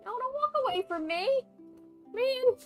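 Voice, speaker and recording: A woman's voice: very high voice, lively intonation, unhurried, darkish tone. A woman livestreaming a game, chatting in a casual, rambling way with playful teasing and some swearing. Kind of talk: casual gaming stream chat. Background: music in the background.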